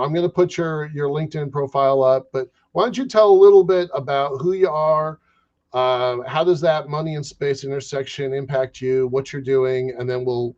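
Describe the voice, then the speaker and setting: A man hosting a podcast interview, chatting casually, halting and repeating words as he goes. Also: mid-range voice, darkish tone, muffled recording. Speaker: podcast host.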